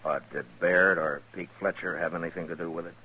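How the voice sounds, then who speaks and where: A man acting a stern, steady frontier lawman in an old-time radio western, speaking deliberately. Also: low voice, husky, exaggerated voice. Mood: probing, careful. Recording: noisy old radio drama recording.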